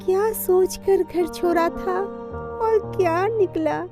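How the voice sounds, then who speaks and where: Lady doing a naive village girl in a story cartoon, super high meek voice, takes her time, faint music. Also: slow pace, exaggerated voice. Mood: plaintive. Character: naive, gentle young village wife, long-suffering. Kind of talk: animated moral story dialogue.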